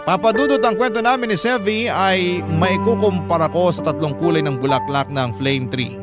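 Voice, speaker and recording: A man narrating a letter as a radio drama host, sentimental and dramatic, with drawn-out phrasing. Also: high voice. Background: prominent music.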